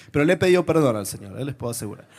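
A man from Argentina with an Argentine accent, preaching a sermon to a congregation and telling humorous stories with lively, animated delivery. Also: mid-range voice, a little hiss or hum. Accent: Argentine Spanish. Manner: humorous, animated storytelling, shifts to whispers. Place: church before a live congregation.

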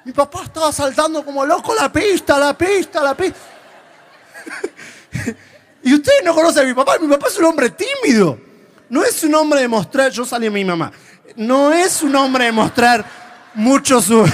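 A man from Argentina with an Argentine accent, preaching a sermon to a congregation and telling humorous stories with lively, animated delivery. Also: very high-pitched. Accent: Argentine Spanish. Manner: humorous, animated storytelling, shifts to whispers. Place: church before a live congregation.

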